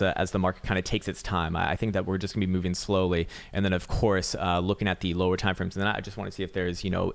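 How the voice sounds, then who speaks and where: A raspy-voiced man giving casual, rambling chart analysis, swearing now and then and full of fillers and restarts. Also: sits low. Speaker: market analyst.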